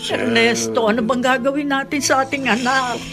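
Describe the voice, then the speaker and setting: A woman's voice: high voice, steady tone, moderate pace, gravelly, music in the background. A woman playing a fretful, scolding middle-aged mother in a Filipino radio drama, anxious and chiding. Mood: chiding, worried.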